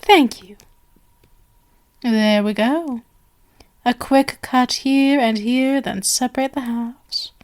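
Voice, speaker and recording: A woman's voice: fairly high pitch, slow, pauses often. A woman playing a genial but sinister stage magician, possessive and doting, with flowery, coaxing endearments in an ASMR roleplay. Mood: playful, teasing.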